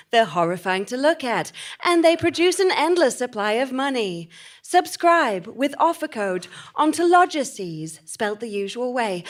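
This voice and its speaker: A female advert announcer with a fairly high voice. She delivers a scripted, tongue-in-cheek commercial in a polished sales-pitch manner. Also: fairly bright tone. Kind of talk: scripted spoof radio advert.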